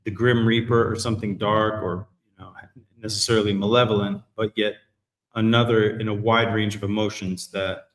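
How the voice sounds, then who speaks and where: A man hosting a live men's group talk show, chatting in a relaxed, rambling way. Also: lowish voice, normal speed, echoey room. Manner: relaxed, rambling, self-correcting. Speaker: host. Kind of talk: casual live chat.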